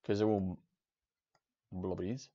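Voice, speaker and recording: A man narrating as game master in a relaxed, meandering way, in dull lo-fi audio with faint hiss. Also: low-pitched, slow.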